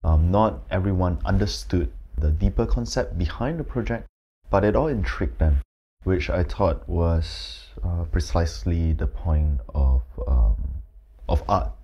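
A man in his thirties from Malaysia, a photographer, answering interview questions indoors in a measured, thoughtful way with frequent ums. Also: deep voice, highs cut off. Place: indoors, plain-walled room.

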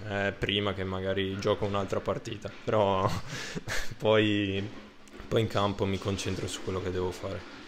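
Low, flat-voiced young man, post-match. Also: unhurried, a little hiss or hum. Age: 20s. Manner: hesitant, many fillers and restarts. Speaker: football player. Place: indoor room under a ribbed ceiling. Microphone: handheld microphone held up by interviewer.

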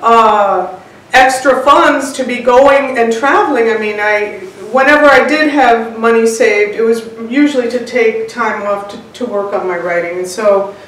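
A woman's voice: on the high side, a little slow, hardly any pauses, a bit bright. Reflective older woman in a very echoey room. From United States, born in Cuba. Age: 60s. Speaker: interviewed home cook and author. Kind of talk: personal storytelling in an interview. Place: indoors, bright home room with large windows. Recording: very echoey room, distant mic, faint noise.

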